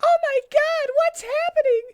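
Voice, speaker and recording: A man's voice: very high voice, unhurried, voice pushed up. A man voicing a panicky young female cartoon hedgehog in a comic story reading. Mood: startled panic.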